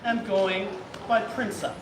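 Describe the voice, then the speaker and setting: A man with a notably high voice speaks as a new prince, friendly and encouraging. He is picked up from a distance in an echoing hall, with noise beneath him. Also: steady tone, takes it slowly. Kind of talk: ceremonial remarks and casual exchange.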